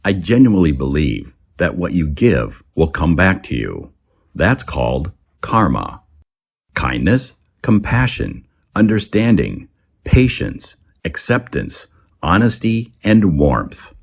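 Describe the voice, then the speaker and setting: A man reads a scripted English lesson as a teacher, setting words and phrases apart with deliberate enunciation. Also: sits low, wildly swooping pitch, vocal fry, breathy edge, muffled recording. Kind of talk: scripted vocabulary lesson narration.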